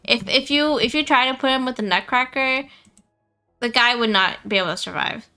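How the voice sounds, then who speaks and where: A woman's voice: on the high side, normal speed, pushed and bright, smooth, soft background music. A woman live streamer chatting casually about a game, often repeating phrases for emphasis. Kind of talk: casual gaming stream chat.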